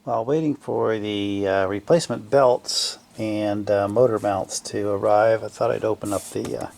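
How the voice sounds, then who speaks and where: A man giving casual, unscripted repair commentary in a gravelly voice, thinking aloud haltingly in trailing fragments. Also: lowish voice, fairly even intonation, darkish tone. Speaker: hobbyist restorer narrating a repair video.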